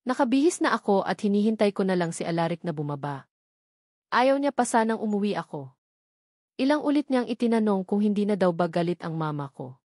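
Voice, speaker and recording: A woman's voice: mid-range voice, expressive, brisk, darkish tone, dry recording, studio quality. A woman reading a first-person romance novel aloud as scripted narration. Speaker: story narrator.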